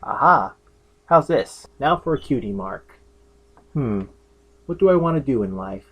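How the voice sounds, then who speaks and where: A man's voice: mid-range voice, expressive, unhurried, lo-fi sound. A man hosting a fan fiction review, narrating in a chatty, opinionated way with enthusiastic, casual comic asides. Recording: music behind the voice. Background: background music.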